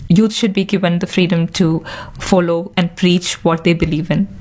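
A woman's voice: lowish voice, little pitch movement, normal speed, a little mellow. A woman hosting and moderating a discussion in conversational speech. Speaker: discussion host.

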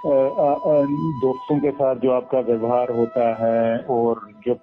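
Man, middle-aged, from Kashmir, hesitant, English phrases mixed in, slow, tinny radio audio with faint music. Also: medium pitch, level tone. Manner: hesitant, many pauses, mixes English phrases. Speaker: radio guest. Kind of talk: casual interview chat.

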